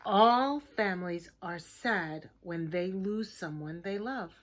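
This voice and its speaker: A woman reading a children's picture book aloud like a teacher, in a deliberate, expressive way, with hushed chatty asides to her listeners. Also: medium pitch. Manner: slow, expressive, simple deliberate phrasing.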